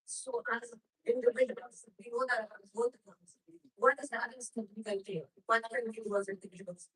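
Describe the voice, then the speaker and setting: A woman's voice: a little high, steady tone, brisk, a bit bright, slightly rough, low-quality recording. A woman in the audience earnestly asking a question at a public meeting.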